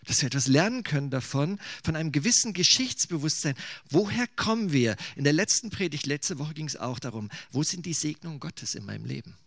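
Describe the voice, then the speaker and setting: A man's voice: on the high side, expressive, fairly quick, gravelly, fairly bright tone, no room sound, clean studio sound. A man from eastern Germany preaching in a conversational, musing way, with casual asides. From Thuringia, eastern Germany. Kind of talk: sermon.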